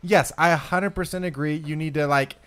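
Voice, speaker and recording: A man's voice: on the high side, steady tone, fairly bright tone, clean tone. A young man co-hosting a podcast, bickering and pushing back in casual banter. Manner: argumentative, quick to push back. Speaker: podcast co-host.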